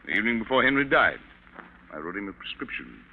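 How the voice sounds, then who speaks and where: An actor playing a composed doctor giving testimony in a 1940s radio drama, measured and formal. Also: male voice, on the low side, very animated. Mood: composed, explanatory. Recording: muffled old radio, faint noise.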